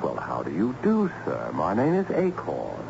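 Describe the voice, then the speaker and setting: An actor playing a smooth, nosy investigator posing as an official in an old-time radio drama, polite and glib. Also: male voice, very deep voice, huge pitch swings, unhurried, full character voice. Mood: polite, probing. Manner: polite, glib, ingratiating, questioning. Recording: muffled, noisy old radio broadcast.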